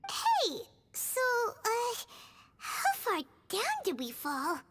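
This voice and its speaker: A woman voicing a tiny, excitable, childlike fairy girl in a video game, squeaky and exclamatory. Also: takes it slowly, very noisy, heavily acted. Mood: dazed, anxious. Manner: exclamatory, third-person cutesy talk.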